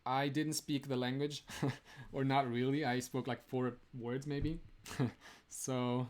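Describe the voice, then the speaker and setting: A man's voice: medium pitch, level tone, slow pace. Laughing young man chatting on a live stream. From Romania. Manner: chatty, laughs often, many "like" fillers. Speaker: streamer answering chat. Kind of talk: casual live-stream chat.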